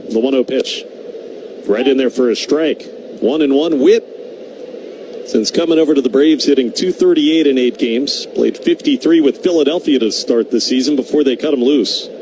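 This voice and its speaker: A man's voice: medium pitch, moderate pace, a little mellow, slight background noise, low-quality recording. A man calling a baseball game as live radio play-by-play.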